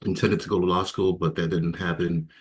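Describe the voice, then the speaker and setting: A man in his thirties from Ghana, telling his personal story as an interview guest, reflective and hesitant, with many fillers and soft laughs. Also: low voice, flat delivery, a little fast. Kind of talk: candid personal testimony.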